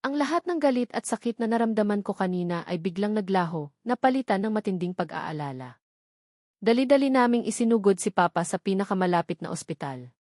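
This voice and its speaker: Filipino lady narrating a love story drama, solemn and measured, mid pitch, really dry sound. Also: expressive, darkish tone, dry recording. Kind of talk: scripted dramatic first-person story narration.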